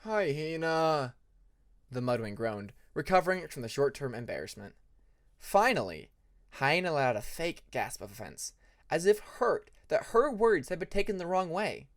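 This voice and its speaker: An audiobook narrator with a mid-range voice and a very lively, swinging delivery. The reading is expressive, like someone telling a fantasy fan story. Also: medium pitch, normal speed.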